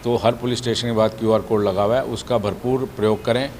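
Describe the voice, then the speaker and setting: A man's voice: fairly low pitch, fairly even intonation, quick pace, a little mellow, slight background noise. A man speaking as a police official in an explanatory media statement, mixing English words and phrases into his speech. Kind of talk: spoken statement to news media.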